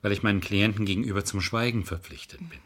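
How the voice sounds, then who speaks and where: An actor playing a cynical, insistent lawyer in a 1970s radio crime drama, arguing in a sardonic, persuasive way. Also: male voice, on the low side, fairly even intonation, quick pace, a bit bright. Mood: dry, firm.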